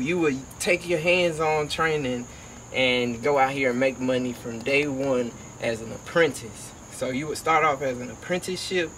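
A man's voice: medium pitch, a bit bright, slight background noise, poor audio. A young man from Georgia, talking casually and emphatically in slang, like a motivational vlogger recording outdoors. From Georgia, United States. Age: young adult. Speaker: HVAC technician and vlogger. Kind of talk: casual motivational vlog talk. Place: outdoors by a house.

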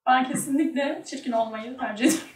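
A woman's voice: high-pitched, fast talker, distant mic. A woman, chatting casually as a guest on a talk show. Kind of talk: casual chat, game-show answers. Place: small indoor studio set with curtain. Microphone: single table microphone at a distance. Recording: roomy, voice away from the mic.

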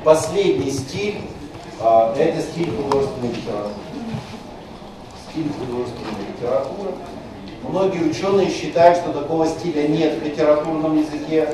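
A male lecturer giving a university lecture, pausing to repeat key terms slowly and adding conversational asides. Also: a little high. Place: lecture hall. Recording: far-off mic in an echoey lecture room with noise.

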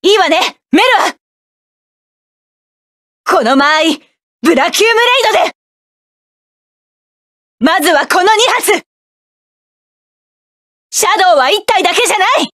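A woman's voice: very high-pitched, lively intonation, a little slow, long pauses, fairly bright tone, no echo, studio quality, exaggerated voice. An actress playing a fierce, commanding young female mecha pilot who calls out attack orders in battle. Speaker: game character. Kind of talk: video game battle voice lines.

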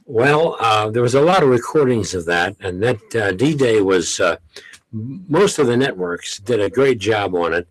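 A man's voice: lowish voice, expressive, a little slow, dry recording, poor audio. An older man, a longtime radio host, speaking bluntly and chattily as a studio guest. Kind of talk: interview guest. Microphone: studio microphone with headphones.

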